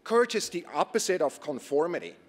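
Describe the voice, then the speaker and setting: A man with a Swiss accent giving an earnest conference talk, posing rhetorical questions and pausing on fillers. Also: a little high, big pitch swings, slightly rough. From Switzerland. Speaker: conference presenter. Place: conference stage. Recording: reverberant lecture hall.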